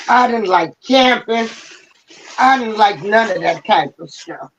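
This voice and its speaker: Slow folksy woman co-host, dull dry line. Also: medium pitch, expressive. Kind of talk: casual chat.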